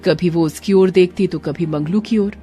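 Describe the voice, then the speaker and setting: A woman's voice: fairly low pitch, moderate pace, warm tone, soft background music. A woman narrator delivering dramatic, scripted storytelling for a cartoon.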